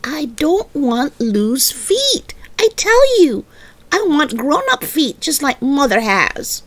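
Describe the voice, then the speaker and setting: A stubborn little girl played by a woman reader protests in a high, swooping voice. She is huffy, boastful and dramatic, straight out of a children's storybook, leaning on every word in childish grammar. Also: very animated, slow, exaggerated voice. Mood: indignant.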